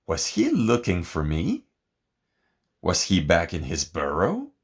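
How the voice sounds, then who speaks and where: A man reading a picture book aloud in a theatrical, expressive and deliberate way, as scripted narration. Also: low-pitched, breathy edge. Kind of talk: dramatic scripted storybook narration.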